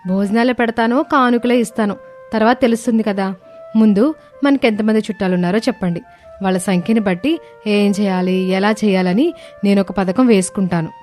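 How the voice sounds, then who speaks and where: A woman voicing a miserly young daughter-in-law in a story, a brisk and cheeky schemer with a pert, emphatic delivery. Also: medium pitch, darkish tone, soft background music, close to an everyday voice. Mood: eager, scheming. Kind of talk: animated moral story dialogue.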